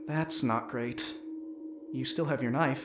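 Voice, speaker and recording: An actor playing an anxious, loyal young rebel crew member in a sci-fi audio drama. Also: male voice, mid-range voice, fairly even intonation, unhurried, roomy sound, muffled recording, heavy background noise, close to an everyday voice. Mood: tense, reporting.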